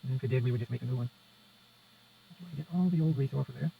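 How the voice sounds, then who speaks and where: A man's voice: medium pitch, rapid-fire, creaky. A man giving a casual hands-on repair demonstration, muttering step by step as he works. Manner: muttering step-by-step commentary to himself and viewer. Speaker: presenter of a radio repair how-to. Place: indoors at a repair workbench. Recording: dull lo-fi sound with faint noise.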